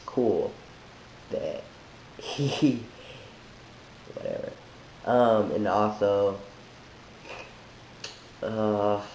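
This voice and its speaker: Slow, low-voiced man, sick and halting. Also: creaky, a little mellow. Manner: halting, thoughtful, with many restarts. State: sick, voice deeper than usual. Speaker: vlogger sharing a transition update. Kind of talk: reflective personal monologue. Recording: echoey room with faint hiss.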